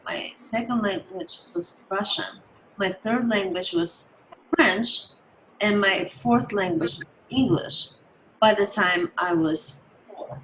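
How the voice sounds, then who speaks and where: A woman's voice: mid-range voice, slow, fairly bright tone, a little hiss or hum, rough recording. A woman from Georgia, a native Georgian speaker, chatting casually on a podcast, hesitant and repeating words as she starts. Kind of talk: casual conversation.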